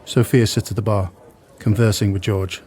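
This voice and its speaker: Male audio drama narrator, low pitch, dark mellow tone, scripted delivery. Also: fairly even intonation, normal speed.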